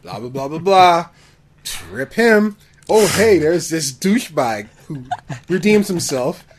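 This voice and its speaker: A man's voice: fairly high pitch, lively intonation, slow. A man co-hosting a podcast in casual chat, with a questioning, play-acting way of talking. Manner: questioning, acts out mock dialogue. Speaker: podcast co-host. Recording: noticeable background noise.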